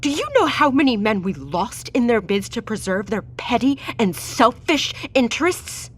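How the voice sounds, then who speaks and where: A woman's voice: on the high side, very animated, moderate pace, breathy edge. A woman playing a defiant, self-justifying doctor accused of treason in an audio drama, argumentative and articulate. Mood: defiant, heated.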